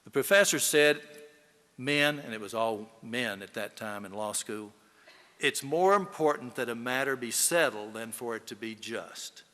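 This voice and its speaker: A man's voice: mid-range voice, measured pace, pushed and bright. A man giving a eulogy, telling folksy anecdotes to an audience in an emphatic storytelling manner. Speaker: eulogy speaker, fellow lawyer. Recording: echoey hall with long reverb.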